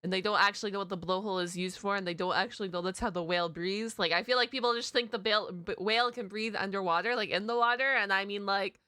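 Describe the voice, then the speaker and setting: Bright, clear-voiced woman chatting casually. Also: medium pitch, steady tone, quick pace, few pauses, no echo, clean studio sound. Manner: rambling, informal, peppered with slang. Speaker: reaction video host. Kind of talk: casual chatty reaction commentary.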